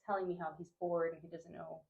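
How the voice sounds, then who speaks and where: Young woman, from Honduras, raised in New Jersey, low-pitched, chatty with fillers, podcast guest, echoey room. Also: little pitch movement, fast, gravelly, a little mellow, dull audio. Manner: chatty, many fillers like "like" and "you know". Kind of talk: casual conversational interview.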